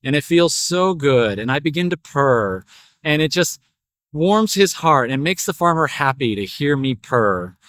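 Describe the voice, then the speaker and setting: A man's voice: a little high, sing-song, measured pace, fairly bright tone, dry recording, studio quality. A man voicing a smug female cat in a story, with a condescending, self-assured manner. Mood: smug, contented.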